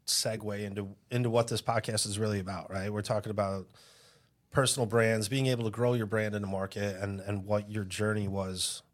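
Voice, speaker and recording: Friendly, low-voiced male podcast host. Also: level tone. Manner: friendly, joking, a bit halting. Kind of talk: casual interview chat.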